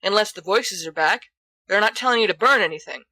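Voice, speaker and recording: A woman's voice: medium pitch, animated, a little fast, bright, forceful tone, no echo. A woman voicing a boisterous, teasing, always hungry teenage schoolboy in a storybook reading. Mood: teasing, hopeful.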